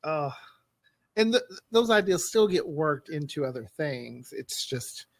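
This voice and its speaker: A man's voice: on the high side, animated, measured pace, no room sound. A man from the United States chatting casually as a podcast co-host. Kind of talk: casual chat.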